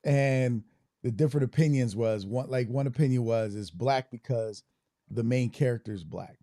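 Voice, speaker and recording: A man's voice: mid-range voice, normal speed, warm tone, dry recording, studio quality. A man from Germany co-hosting a podcast, speaking in a reflective, storytelling way. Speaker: podcast co-host. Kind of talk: casual discussion.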